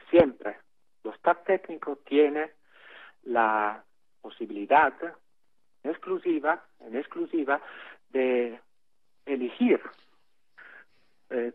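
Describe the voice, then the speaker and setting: Slow, halting man on a phone line. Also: mid-range voice, husky. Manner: halting, many fillers, slow and deliberate. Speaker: interview guest on phone. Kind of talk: phone interview, explaining at length.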